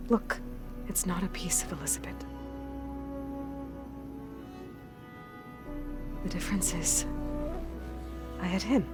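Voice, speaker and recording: Determined young woman warrior, played by an actress, hushed intensity. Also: on the low side, lightly acted. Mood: gentle, subdued. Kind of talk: cinematic video game dialogue.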